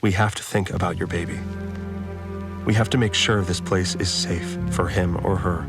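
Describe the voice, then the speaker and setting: A man's voice: deep voice, fairly even intonation, moderate pace, vocal fry, far from the mic, music in the background. A man voicing an anxious, resigned female doctor in an audio drama, speaking in a subdued, earnest way. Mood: resigned, pleading.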